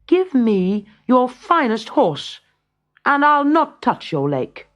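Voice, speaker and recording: Narrator playing a high-voiced, cunning peasant lad. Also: sing-song, takes it slowly, fairly bright tone, highs cut off. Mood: bold. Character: plucky, cunning young peasant lad, boldly bluffing. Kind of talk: children's storybook character reading.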